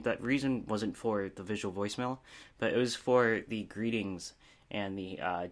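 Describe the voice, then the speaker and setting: A man's voice: mid-range voice, a bit bright. A man giving a casual, unscripted review as a video host, rambling informally with a self-deprecating manner.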